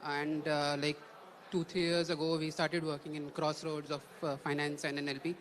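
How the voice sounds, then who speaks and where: Male panelist, stammering self-introduction, slightly high voice. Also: flat delivery, moderate pace, fairly bright tone, a little hiss or hum. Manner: repeating words, hesitant. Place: conference stage.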